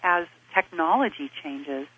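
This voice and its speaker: Husky-voiced woman giving measured advice. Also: low voice, lively intonation, a bit bright, poor audio. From Switzerland. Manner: measured, explanatory, builds lists of points. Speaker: interview guest, business advisor. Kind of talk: thoughtful conversational interview answers.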